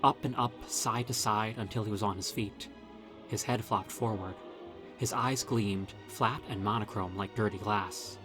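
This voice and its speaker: Man narrating horror over soft music. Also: lowish voice, steady tone, unhurried. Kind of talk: scripted first-person horror narration.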